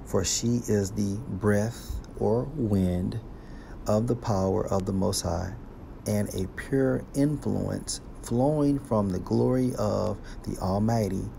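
A man teaching scripture, reading verses aloud slowly and explaining each one with a deliberate delivery. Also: fairly low pitch, gravelly, mellow, slight background noise. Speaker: Bible teacher.

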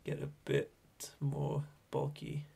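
A man in his thirties with a Swedish accent, a Swedish native speaker explaining fly tying in a relaxed, hesitant way from a small home workshop. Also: slow pace. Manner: relaxed, hesitant, thinking aloud. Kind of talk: casual tutorial narration.

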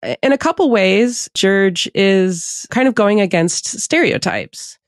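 A man's voice: high voice, lively intonation, slow, no room sound, studio quality. A man in his forties interviewing a guest in a casual, conversational way. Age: 40s. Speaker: podcast host, interviewer.

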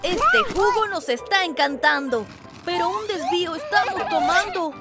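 A very high, childlike cartoon lion cub, excitable and singsong. The pitch swoops widely through rhymed lines, with music playing behind. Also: female voice, moderate pace. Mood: playful. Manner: exclamatory, singsong.

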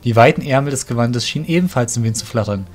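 A man narrating a fantasy parody audio drama in a measured, descriptive scripted reading. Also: mid-range voice, faint music behind.

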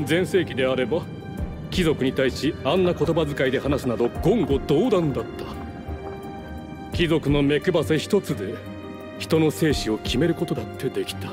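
A man's voice: a little high, animated, normal speed, close to an everyday voice. A man voicing a haughty, scheming nobleman in a Japanese video game, speaking formally and condescendingly. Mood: proud. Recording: game music behind the voice.

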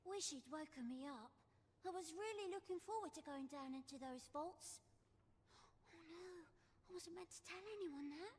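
An actress playing a worried, wistful small child in a fantasy game, hesitant and plaintive. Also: female voice, high-pitched, moderate pace, darkish tone, close to an everyday voice. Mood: worried, regretful. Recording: long echoing stone-room reverb.

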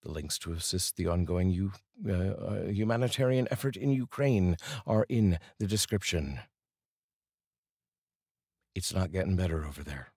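Middle aged guy, low really raspy voice, slow earnest poetry-reading delivery, close on the mic. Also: animated, a little mellow, no echo. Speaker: host and voice-over reader. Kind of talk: solemn, measured poetry reading and personal address to viewers. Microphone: large studio microphone, up close.

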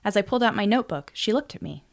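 A woman's voice: medium pitch, lively intonation, very fast, gravelly. Woman narrating an audiobook. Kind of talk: first-person scripted audiobook narration.

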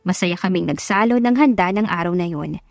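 A woman's voice: fairly low pitch, brisk, vocal fry, no room sound. A woman reading a scripted first-person love story as an audiobook narrator.

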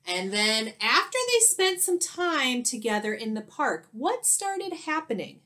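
A woman's voice: fairly high pitch, sing-song, measured pace, pushed and bright. A woman in her thirties, a teacher, reading a picture book aloud expressively and explaining it to children. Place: small indoor room at home.

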